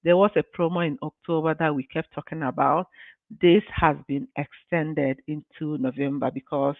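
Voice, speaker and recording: A woman's voice: low voice, steady tone, moderate pace, dry recording. A woman from Ghana, a business mentor giving an earnest, encouraging motivational talk on a live stream, often saying "you know". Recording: dry tinny phone-like line.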